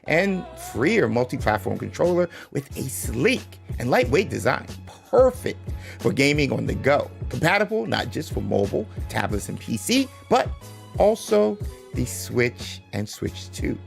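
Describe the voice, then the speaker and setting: A man hosts a livestream in a lively, widely swinging voice at a middle pitch. He talks casually, stopping and restarting phrases and repeating himself, with light music behind him. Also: unhurried, creaky. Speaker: livestream host. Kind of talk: casual livestream chat and sponsor read.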